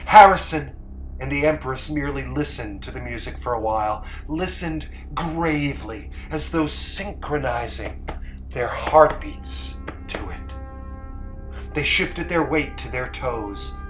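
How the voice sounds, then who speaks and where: Slow, theatrical male story narrator. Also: medium pitch, lively intonation, fairly bright tone, poor audio. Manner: theatrical, measured, emphatic. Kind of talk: dramatic scripted story reading. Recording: music bed under the voice.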